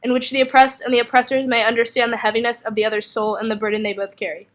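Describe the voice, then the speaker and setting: A woman performing slam poetry as a spoken word poet, with dramatic, emphatic, deliberately phrased delivery. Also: fairly high pitch, little pitch movement.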